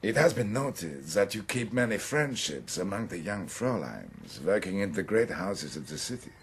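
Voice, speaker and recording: An actor playing a sly, insinuating Prussian police official with a German accent and husky voice in a radio drama. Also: male voice, low-pitched, sing-song, normal speed, exaggerated voice.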